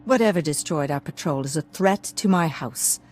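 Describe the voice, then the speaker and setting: A woman's voice: sits low, lively intonation, normal speed, a little mellow, soft background music. A woman playing a haughty, guarded noblewoman in a video game, with a formal and stern aristocratic manner. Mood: stern, wary. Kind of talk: scripted video game drama acting.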